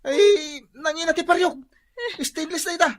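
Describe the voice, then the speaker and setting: An actor with an Ilocano accent playing a loud, quarrelsome husband in a comedy radio drama, exclaiming and pleading. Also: male voice, very high voice, a little slow, a crowd in the background. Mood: alarmed pleading. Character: loud quarrelsome husband dodging his wife's thrown dishes.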